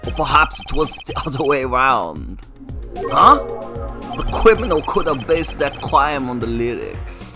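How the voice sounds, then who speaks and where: A hoarse woman voicing an earnest young male defense attorney, loud and questioning. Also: rumbling low, sing-song, measured pace, over music, exaggerated voice. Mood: puzzled.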